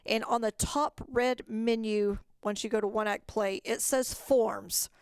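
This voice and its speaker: A woman's voice: a little high, fairly even intonation, measured pace, smooth, no echo, studio quality. A woman presenting in a webinar as an organizer, explaining procedures informally, step by step.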